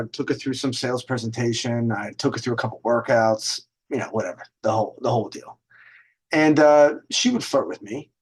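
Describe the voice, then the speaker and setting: A middle-aged man hosting a video segment, telling stories in a casual, rambling, informal way with conversational asides and some swearing. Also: mid-range voice. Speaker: host of a dating and lifestyle video segment. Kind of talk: casual, rambling storytelling to camera.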